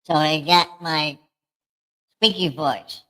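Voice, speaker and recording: A man voicing a squeaky livestream character through a pitch-shifting voice changer, chatting casually. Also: steady tone, slow pace, pushed and bright. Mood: chatty.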